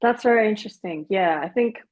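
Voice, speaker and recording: A woman's voice: medium pitch, brisk, dry recording. A young woman from Hong Kong hosting a podcast as a psychologist, chatting with curiosity and lots of fillers. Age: young adult. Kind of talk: casual interview chat. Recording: dull, dry lo-fi sound.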